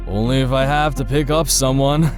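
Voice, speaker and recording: A man's voice: medium pitch, moderate pace, natural voice. A man playing a boozy, sardonic, world-weary club-goer in a visual novel, with a teasing drawl. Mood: wry, resigned. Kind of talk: visual novel character acting. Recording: club music behind voice.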